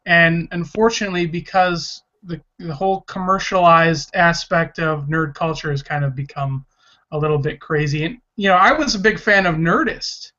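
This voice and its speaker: A man's voice: a little high, moderate pace, pushed and bright. A man of thirty-two hosting a casual podcast, speaking with enthusiasm and frequent restarts. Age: 30s. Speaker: podcast host. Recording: dull lo-fi sound.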